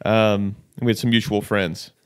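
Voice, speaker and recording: A man, a podcast guest and author speaking in a measured, reflective way. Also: fairly low pitch, flat delivery, smooth, dry recording, clean studio sound. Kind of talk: casual conversational interview.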